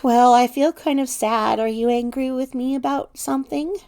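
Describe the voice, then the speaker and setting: A woman's voice: fairly high pitch, normal speed, vocal fry, darkish tone. A woman voicing a small, timid, hurt storybook companion in a meek, questioning little voice. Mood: sad, pained. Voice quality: tiny, little voice.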